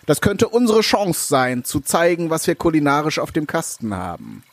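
A man's voice: fairly high pitch, wildly swooping pitch, normal speed, dry recording, full character voice. An actor playing an earnest head chef addressing his kitchen team in an audio drama. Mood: earnest, resolute. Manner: declarative, speech-like address to staff.

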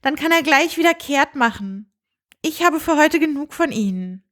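A woman's voice: high voice, expressive, moderate pace, fairly bright tone, pitched above the performer's own voice. A woman voicing a spirited, kind young princess in a storybook reading, earnest and emphatic. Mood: annoyed.

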